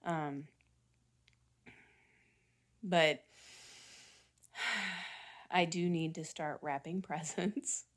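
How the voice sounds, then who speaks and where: A woman in her forties with a raspy voice, chatting in a rambling, casual way as a family vlogger. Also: lowish voice, extremely slow, long pauses. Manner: chatty, rambling, self-deprecating with small laughs. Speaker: vlogger mom. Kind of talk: rambling casual vlog chat.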